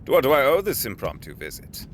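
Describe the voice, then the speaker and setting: An actor playing a courteous middle-aged executive, avuncular and formal, with genteel, deliberate phrasing in an audio drama. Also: male voice, medium pitch, wildly swooping pitch, slight background noise. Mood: warm, pleased.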